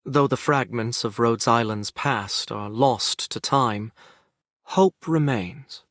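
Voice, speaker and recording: A calm, learned male wanderer from a video game. He speaks slowly and formally, with quiet hope and a mid-pitched voice. The sound is dry. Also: no echo. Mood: solemn hope. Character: calm scholarly man, former wanderer, quietly hopeful. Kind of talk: game character voice acting.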